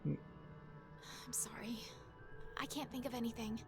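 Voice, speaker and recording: An actress playing a gentle, uncertain young woman in a mystery video game, with a soft, hesitant delivery. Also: a little high, fairly even intonation, a little slow. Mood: puzzled, apologetic. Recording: loud game music behind.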